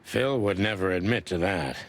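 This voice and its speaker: Casting: tough rooster detective, deep, dry and laconic, hard-boiled noir game. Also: male voice, normal speed. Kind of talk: noir adventure game voice acting.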